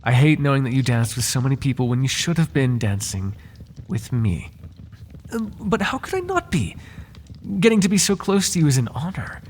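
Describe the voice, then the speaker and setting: A man's voice: medium pitch, sing-song, normal speed, darkish tone, slight background noise, close to an everyday voice. A man playing a devoted, lovesick butler in an intimate ASMR roleplay, flirting with his master in formal, courtly, teasing and tender words. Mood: flustered, jealous.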